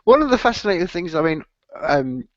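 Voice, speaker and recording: A man's voice: on the high side, sing-song, a little fast, no room sound, dull audio. A man hosting an interview, asking long, rambling questions packed with fillers like "I mean" and frequent restarts. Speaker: interviewer and host. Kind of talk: casual conversational interview.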